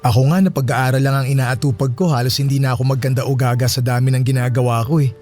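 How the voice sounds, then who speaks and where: A warm, dark-toned young male voice of medium pitch. A man plays a respectful, hopeful student son in radio drama, earnest in every line, with quiet music behind. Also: steady tone, fast talker, lightly acted. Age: young adult. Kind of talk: Filipino radio drama acting.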